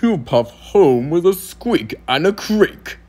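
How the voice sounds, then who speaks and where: A man voicing a boastful, scornful male steam engine in a cartoon audition, with a pompous, theatrical, sneering delivery and a raspy, gravelly voice. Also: on the high side, animated, slow pace, warm tone. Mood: smug, scheming.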